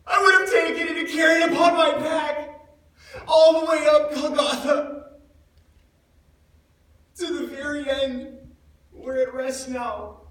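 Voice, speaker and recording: An actor playing a grief-stricken, guilt-ridden disciple of Jesus in a theatrical monologue, speaking in a halting, emotive way. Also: male voice, very high-pitched, steady tone, a bit bright. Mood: desperate, loud. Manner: halting, anguished, emotive delivery with repeated words. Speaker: actor in a church dramatic monologue. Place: large echoing church indoors. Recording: echoey church, far from the mic.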